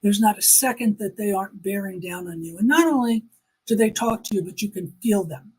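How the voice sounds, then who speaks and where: A woman's voice: medium pitch, fairly quick, darkish tone, breathy edge, poor audio. A woman giving personal testimony in an interview, speaking emphatically and repeating phrases to drive points home.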